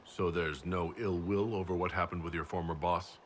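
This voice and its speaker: A man playing a composed, earnest fantasy hero in a video game, measured and inquisitive. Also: deep voice, steady tone, a little hiss or hum, natural voice. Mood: formal, questioning.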